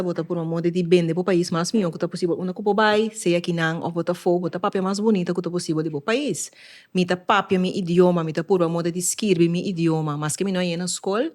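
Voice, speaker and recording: A woman's voice: lowish voice, fairly even intonation, fast talker, darkish tone. A woman with a Curaçao Papiamentu accent, talking earnestly and reflectively in a casual podcast conversation.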